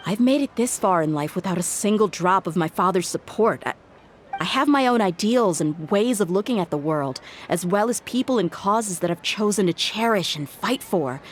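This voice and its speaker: A woman's voice: mid-range voice, lively intonation, moderate pace, slight background noise, close to an everyday voice. An actress playing a blunt, independent young female mercenary who speaks directly and firmly, with brief hesitations. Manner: direct, firm, a little hesitant. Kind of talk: video game voice acting.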